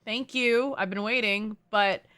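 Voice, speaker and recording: A woman's voice: mid-range voice, steady tone, unhurried, a bit bright, smooth. A woman doing casual, playful, reactive live commentary as she streams a game playthrough.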